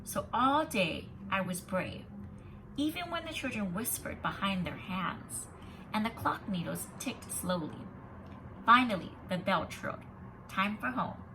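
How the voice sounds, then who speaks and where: A woman teacher reading a children's story expressively and pausing to explain it in an instructive, emphatic way. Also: mid-range voice, very animated, creaky, noisy background. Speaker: kindergarten teacher.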